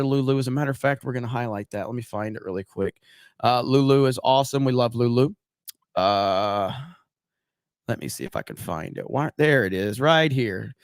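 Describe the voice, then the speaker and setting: A man hosting a live stream, his voice smooth and resonant but fraying and cracking at the edges from too many streams. He talks with loose, excited energy, calling out viewers' names and reading their messages. The sound is dry and close, with no room around it. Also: medium pitch, no room sound. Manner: energetic, reads out viewer chat and shout-outs. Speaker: host. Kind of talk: casual livestream chat.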